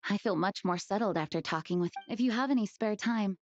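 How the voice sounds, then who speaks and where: Lady voicing a formal earnest knight boss in a game, mid pitch, bit muffled. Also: fairly quick, natural voice. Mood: thoughtful, sincere. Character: composed, dutiful female knight leader recounting lore. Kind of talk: video game voice acting. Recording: slightly dull game audio with music.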